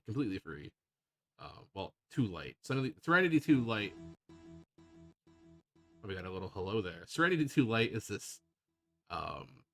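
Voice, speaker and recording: A man hosting a casual software tutorial, talking in an improvised, rambling and hesitant way. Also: fairly low pitch. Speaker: tutorial host.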